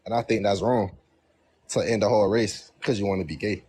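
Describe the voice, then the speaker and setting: A man's voice: on the low side, steady tone, fairly quick, darkish tone, husky. A nineteen-year-old man calling in to a debate, talking in casual slang and repeating himself defensively. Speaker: caller.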